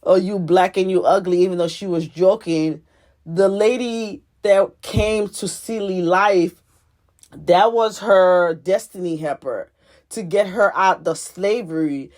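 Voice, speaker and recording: A woman's voice: fairly low pitch, takes it slowly, darkish tone. A woman from Haiti with a Haitian accent, giving a prophetic teaching monologue as a spiritual teacher, earnest and repetitive, often restarting her phrases. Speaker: spiritual teacher and life coach.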